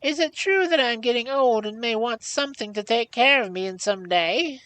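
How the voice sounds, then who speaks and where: A woman's voice: on the high side, expressive, moderate pace, a bit bright, dry recording, pitched above the performer's own voice, low-quality recording. A woman voicing a miserly old hag in a storybook, grumbling, sarcastic and mocking as she haggles. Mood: cross, scheming. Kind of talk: storybook character voice.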